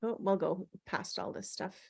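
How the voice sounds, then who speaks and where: A woman's voice: mid-range voice, normal speed, creaky, mellow, breathy edge. A woman hosting an online table read in casual, slightly scattered chat over a video call.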